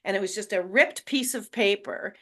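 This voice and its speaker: A woman's voice: medium pitch, normal speed, a bit bright. A woman hosting a book launch on a video call, talking candidly and emotionally with hesitant fillers. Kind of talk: casual group chat.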